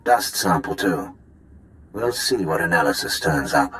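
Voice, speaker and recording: A man playing a brisk, skeptical spy contact in a video game, with a gravelly, forceful voice and clipped delivery. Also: deep voice, lively intonation, slight background noise. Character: wry male undercover Imperial spy contact, brisk, skeptical. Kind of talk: video game cutscene acting.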